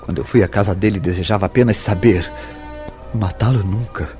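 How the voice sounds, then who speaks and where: An actor playing a guilt-ridden, jealous man in a Brazilian radio soap, speaking formally and haltingly in anguish. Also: lowish voice, darkish tone, soft background music, close to an everyday voice. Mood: anguished, confessional. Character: guilt-ridden, jealous husband-lover who confessed to murder. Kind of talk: melodramatic radio soap acting.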